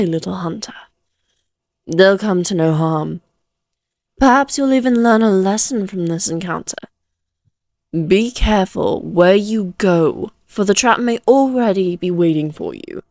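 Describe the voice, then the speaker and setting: A woman's voice: mid-range voice, a little mellow, no room sound, studio quality, close to an everyday voice. A woman voicing an ancient, amused and self-assured female vampire who taunts the listener in formal, theatrical phrases, in a Victorian fantasy audio roleplay. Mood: menacing, condescending.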